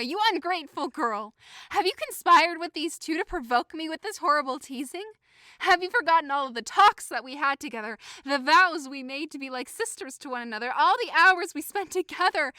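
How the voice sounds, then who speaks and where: A woman's voice: high voice, animated, brisk, bright, forceful tone, no room sound, clean studio sound. A woman playing a hurt, indignant young woman in a modern-English Shakespeare audio drama, impassioned, accusing and sarcastic. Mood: wounded, pleading. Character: hurt, indignant young woman convinced she is being mocked.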